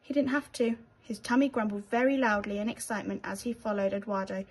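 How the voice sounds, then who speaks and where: A woman with a British accent reading a children's bedtime story aloud in an expressive storytelling style. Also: mid-range voice, fairly quick, breathy edge.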